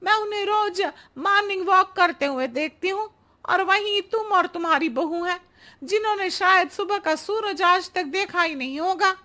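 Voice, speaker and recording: A woman voicing a chirpy, nosy friend in a cartoon story, lively and chiding. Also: very high-pitched, moderate pace, voice pushed up.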